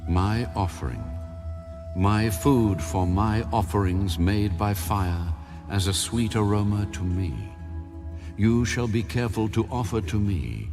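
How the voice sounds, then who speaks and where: Male scripture narrator, fairly low, measured, solemn, music bed underneath. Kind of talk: formal scripted audiobook-style reading. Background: background music.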